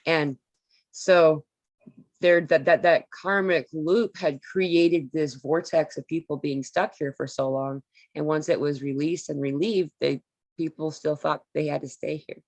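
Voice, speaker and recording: A woman's voice: low voice, steady tone, a little slow, dry recording. A woman speaking earnestly in a casual spiritual talk, explaining her ideas in long flowing run-on sentences.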